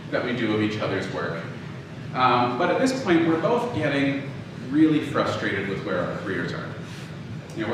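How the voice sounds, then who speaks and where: A man with a mid-pitched voice gives an informal, story-led lecture. He is conversational and emphatic. The recording is heavily echoey and picked up from a distance, with clear noise behind him. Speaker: audio drama producer giving a lecture. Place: live talk in a reverberant room.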